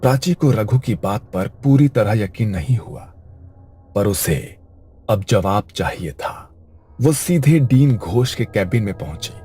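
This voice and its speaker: A man's voice: on the low side, animated, unhurried, mellow, breathy edge, soft background music. A man narrating a scripted horror story in a suspenseful storytelling manner.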